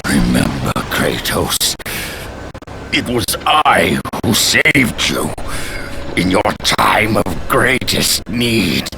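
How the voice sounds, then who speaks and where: A very deep, gravelly war god. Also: male voice, sing-song, background noise, exaggerated voice. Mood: menacing. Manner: slow, menacing, grandiose taunting. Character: vengeful, gloating god of war, huge and menacing. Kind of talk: dramatic video game villain acting. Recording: loud music over the voice.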